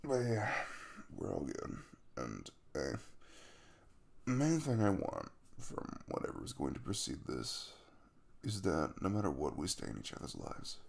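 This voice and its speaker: Casting: male actor as affectionate young crush for ASMR roleplay; chatty, earnest, very lively pitch swings with a creaky edge. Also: heavily acted. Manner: rambling, playful, animated. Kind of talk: intimate ASMR roleplay, casual conversational acting.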